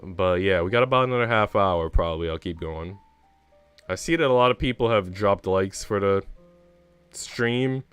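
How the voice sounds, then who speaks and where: Male streamer, low and clean voice, loose sweary chat delivery, music underneath. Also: moderate pace, a bit bright, smooth. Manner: informal, rambling, frequent swearing. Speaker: live streamer. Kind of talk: casual livestream chat.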